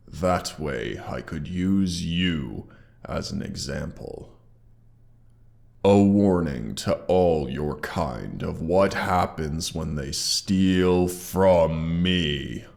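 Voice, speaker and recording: A man voicing a proud, menacing wounded dragon in a roleplay audio, with haughty deliberate speech, a gravelly tone and a monster effect on the voice. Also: darkish tone, heavily acted. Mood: cold menace. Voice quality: very deep, gravelly. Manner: slow, deliberate, haughty menace with archaic wording. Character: menacing, proud, hoard-guarding injured male dragon. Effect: processed monster-voice effect. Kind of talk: scripted roleplay audio monologue.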